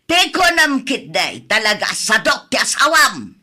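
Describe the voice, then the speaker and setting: An actress playing a scornful, nagging wife and mother in an Ilocano-accented radio drama, with a sharp, emphatic way of talking. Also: on the high side, wildly swooping pitch, a little slow, exaggerated voice. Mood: scolding.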